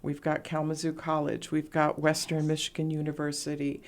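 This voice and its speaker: A middle-aged woman, a radio host talking as a guest and sharing stories in relaxed conversation, with fillers. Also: deep voice, fairly quick. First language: Latvian. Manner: warm storytelling, reflective, frequent fillers. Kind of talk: casual interview chat.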